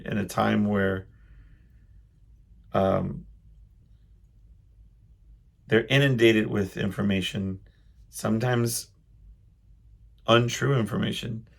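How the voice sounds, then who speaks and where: A middle-aged man hosting a solo podcast, reflecting casually and haltingly as he thinks aloud in a loud voice. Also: fairly low pitch, vocal fry. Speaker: podcast host.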